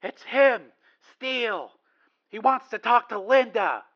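A man's voice: extremely high pitch, animated, takes it slowly, muffled recording, pitched above the performer's own voice, heavily acted. A man voicing a nervous newsroom receptionist in an audiobook, hesitant and flustered. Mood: startled.

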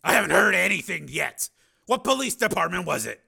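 A man voicing Steele, a stern, forceful man who has become a machine, shouting and insisting in a dramatized audiobook. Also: high voice, very animated, a little fast, creaky, husky, pitched above the performer's own voice, exaggerated voice. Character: hard-edged adult man turned machine, stern.

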